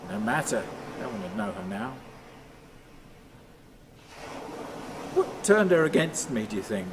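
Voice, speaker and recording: An actor playing a bitter, brooding man haunted by memories, rambling and halting in a radio play monologue. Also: fairly low pitch. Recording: noisy, steady ambient sound behind.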